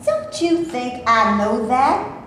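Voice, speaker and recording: A woman reading a blunt, sassy actress who speaks slowly and deliberately. Her voice is high with lively swings in pitch, and the recording sits in an echoey hall with faint noise. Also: heavily acted. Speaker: actor in a staged reading. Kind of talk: amateur stage reading.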